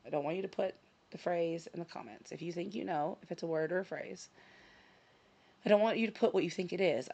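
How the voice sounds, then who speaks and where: A woman hosting her own vlog in casual chat, a little hoarse from a scratchy throat, talking with frequent ums and self-corrections. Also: lowish voice. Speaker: channel host. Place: her home in Sicily.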